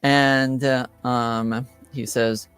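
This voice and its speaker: A man improvising the narration of a tabletop game as game master, halting and searching for words. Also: medium pitch, level tone, over music.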